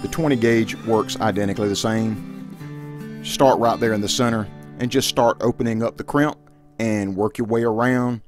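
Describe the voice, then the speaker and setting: A man's voice: lowish voice, steady tone, moderate pace, music in the background. Middle aged guy doing a casual how-to vlog, folksy, talks right to the viewers. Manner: folksy, addressing viewers directly. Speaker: outdoors and shooting vlogger.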